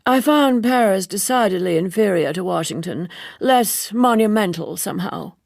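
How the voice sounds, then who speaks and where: A woman's voice: medium pitch, lively intonation, moderate pace, creaky, a little mellow, dry recording, clean studio sound. A woman voicing an earnest, opinionated young American woman in an audiobook novel, prim and gossipy.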